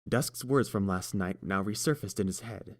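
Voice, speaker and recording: A man narrating a story in a steady, even storytelling manner. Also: fairly low pitch, normal speed. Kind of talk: fan audiobook narration.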